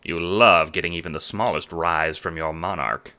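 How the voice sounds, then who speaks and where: A man narrating a fan audio drama in a dramatic, measured noir style. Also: sits low, fairly even intonation, sharp tone, clear, resonant tone. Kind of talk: second-person noir narration.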